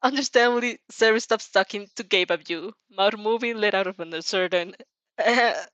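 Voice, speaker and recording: A woman's voice: mid-range voice, brisk, a bit bright, no echo, highs cut off. A woman reading fan fiction aloud as informal storytelling, giggly and prone to breaking off with comments. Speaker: fan fiction reader and dubber.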